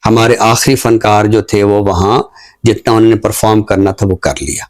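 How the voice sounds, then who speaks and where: A middle-aged man with a Pakistani accent gives reflective social commentary, explaining deliberately and pausing mid-sentence. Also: on the low side, fairly even intonation. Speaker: literary talk show commentator.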